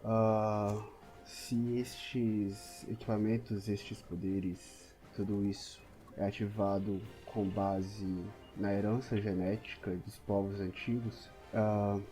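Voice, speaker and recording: A man playing a wary, brooding reluctant hero who questions others in a halting, serious, challenging way in a tabletop audio drama. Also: lowish voice, warm tone, lightly acted.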